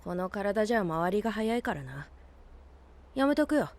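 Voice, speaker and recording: A woman's voice: medium pitch, big pitch swings, moderate pace, darkish tone. Cocky, blunt boy. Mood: brash. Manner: rough, casual, brash boyish speech. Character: cocky, blunt boy who is secretly much older. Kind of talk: Japanese anime audio drama acting.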